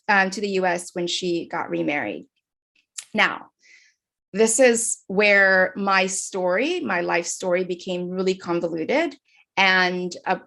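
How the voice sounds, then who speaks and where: A woman's voice: fairly low pitch, creaky, fairly bright tone. A woman from the Philippines giving an earnest personal talk over a video call, with frequent fillers and restarts. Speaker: speaker.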